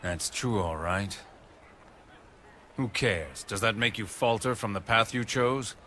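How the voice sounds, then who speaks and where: An actor playing a blunt, loyal and confident warrior in a video game cutscene, with a gruff manner. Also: male voice, lowish voice, slow, pushed and bright, a little hiss or hum. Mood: confident, dismissive.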